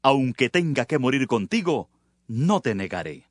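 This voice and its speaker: An earnest loyal disciple in a bible audio drama, insistent, pitch moves a lot, dry sound. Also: male voice, big pitch swings, measured pace, no echo. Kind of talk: dramatised scripture audiobook acting.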